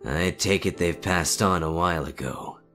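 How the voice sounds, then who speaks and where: A man plays a blunt, wry huntsman in an audio story. The voice is very low and gravelly, with an informal, worldly delivery. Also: moderate pace, bright, forceful tone, soft background music. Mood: subdued. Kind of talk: dramatic fan fiction audio reading.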